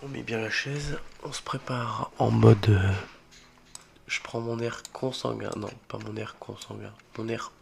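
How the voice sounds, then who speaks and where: A young man with a cold, voice a bit rough, joking and getting spooked as he plays a horror game for his channel. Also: lowish voice, steady tone, unhurried, heavy background noise. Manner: jokey, easily spooked, mutters reactions and swears. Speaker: gaming video creator. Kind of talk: solo horror game let's play commentary.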